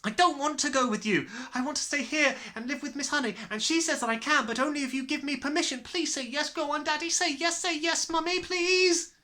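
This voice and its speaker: Man acting a high, desperate, pleading little girl. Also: brisk, hardly any pauses, pushed and bright. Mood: breathless pleading. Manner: crying out, urgent, breathless. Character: small girl, desperate, pleading, excitable and determined.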